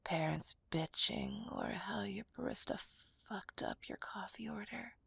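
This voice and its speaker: Woman acting a slow, breathy, whispering seductive villainess. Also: mid-range voice, fairly bright tone, no room sound, full character voice. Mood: teasing, dominant. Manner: slow, teasing, dominant. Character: seductive, menacing female villain kidnapper, possessive and teasing. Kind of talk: whispered ASMR roleplay.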